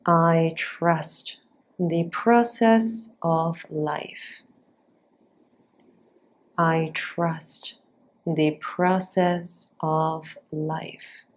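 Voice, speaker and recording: Very slow, low-voiced woman guiding meditation. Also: fairly even intonation. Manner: unhurried, measured, with long pauses between short instructions. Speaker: yoga nidra teacher guiding a practice. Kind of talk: guided meditation.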